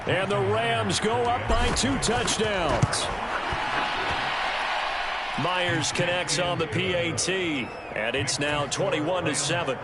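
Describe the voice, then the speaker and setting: Male play-by-play sportscaster for a football game, higher-pitched, clipped and polished, over heavy stadium noise. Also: slow pace, long pauses. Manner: clipped, polished broadcast phrasing. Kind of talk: American football video game commentary. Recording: loud stadium crowd noise throughout. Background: crowd and game ambience.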